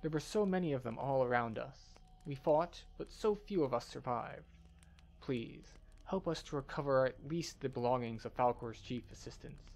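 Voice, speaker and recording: A man voicing an earnest scholar from a fantasy game expedition, speaking in a formal, measured way. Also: mid-range voice, creaky, a little mellow, close to an everyday voice. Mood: grave, pleading.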